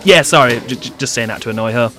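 A man's voice: medium pitch, fast talker, pushed and bright, faint music behind, pitched below the performer's own voice, natural voice. A man playing a cheeky, sarcastic seventeen-year-old schoolboy who bickers and narrates in an audio drama. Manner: playful, sarcastic, exaggerated.

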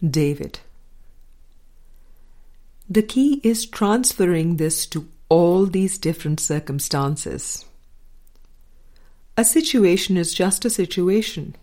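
A woman reading a scripted spiritual dialogue aloud in a measured, deliberate way, pausing between short phrases. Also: lowish voice, lively intonation, dark tone. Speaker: audiobook reader.

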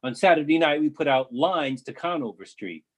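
A man playing a husky boat owner. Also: a little high, expressive, moderate pace. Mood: practical. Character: boat owner securing his vessel. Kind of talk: readers theatre monologue.

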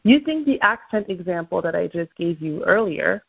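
A woman's voice: on the low side, expressive, moderate pace, gravelly, husky, muffled recording. Upbeat woman with a Quebec French accent. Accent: Québécois French. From Quebec, Canada. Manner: upbeat, coaching, asks listeners questions. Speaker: host of a morning motivational call. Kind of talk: motivational talk.

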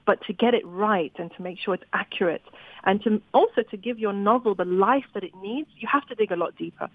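A woman author from Zimbabwe speaks at a middle pitch, lively and quick to restart her sentences. Her voice comes through a narrow, phone-like line. The sound is dry, with no room around it. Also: dry recording. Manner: animated, with frequent restarts. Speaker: novelist guest. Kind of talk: interview conversation.

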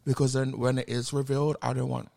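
A man from Australia co-hosting a podcast, joking and backtracking on his own words in loose chat. His voice has a gravelly, fry-laced edge at a middling pitch. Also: fast talker. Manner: animated, self-correcting, jokey. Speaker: podcast co-host. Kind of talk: casual chat.

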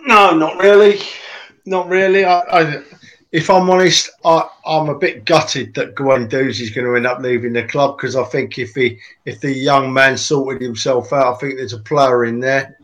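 A man, talking football in a lively, emphatic casual chat and repeating lines for effect. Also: mid-range voice, moderate pace. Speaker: podcast co-host.

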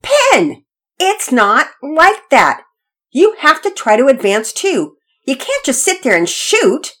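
An impatient young boy from a children's audio storybook, acted out by a woman. He is bossy and exasperated, pushing his high voice hard with big swoops in pitch. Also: very animated, normal speed. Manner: loud, commanding, exasperated.

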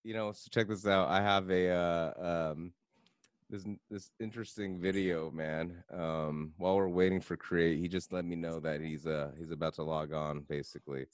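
A man's voice: low voice, fairly even intonation, moderate pace, clear, resonant tone, dry recording. A man from California, hosting a podcast in loose, chatty talk full of fillers. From Southern California. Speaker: podcast host. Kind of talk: casual chat.

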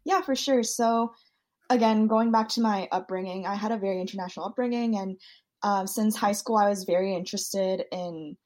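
A woman's voice: mid-range voice, normal speed, a little mellow. A young woman in her twenties, originally from South Korea, answering podcast interview questions fluently and thoughtfully, with some um fillers. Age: 20s. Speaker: student guest.

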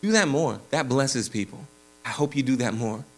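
A pastor preaching a sermon in a church, casual and energetic, with slang and frequent restarts. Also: male voice, medium pitch, normal speed. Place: church sanctuary.

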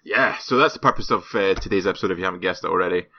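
A man's voice: deep voice, very fast. A man hosting a podcast in a casual, joking way, cursing now and then. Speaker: podcast host. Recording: slightly muffled podcast audio.

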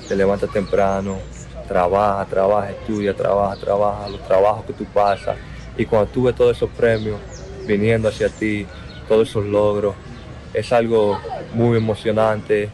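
Young Dominican man, low and flat delivery, halting, repetitive phrasing. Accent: Dominican Spanish. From Dominican Republic. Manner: slow, halting, repeats words. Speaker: basketball player interviewed. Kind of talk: outdoor interview.

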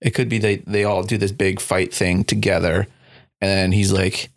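A man's voice: low voice, little pitch movement, moderate pace, no room sound, studio quality. A young man co-hosting a casual movie podcast, laughing often and slipping into silly quoted voices. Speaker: podcast co-host.

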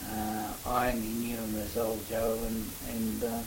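An older Australian man, in his seventies or beyond, reminiscing in a halting, rambling way for an oral history interview. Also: fairly low pitch, monotone. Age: older, 70s or above. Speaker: interviewee recalling local history. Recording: faint hiss, amateur interview recording.

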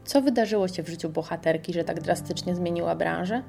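A woman reading a scripted radio segment that recommends podcasts, in a measured and enthusiastic way. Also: fairly low pitch, gravelly, mellow, faint music behind.

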